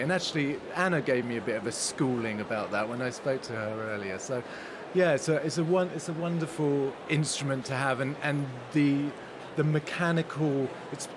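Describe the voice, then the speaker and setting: A man being interviewed casually in a large concert hall, wry and self-deprecating in manner. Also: on the high side. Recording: background noise of a busy venue.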